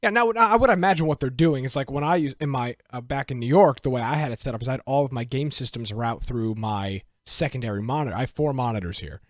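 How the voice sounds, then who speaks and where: A man's voice: mid-range voice, quick pace, few pauses, dry recording, clean studio sound. A man co-hosting a casual gaming podcast, joking and teasing his co-host in easy banter.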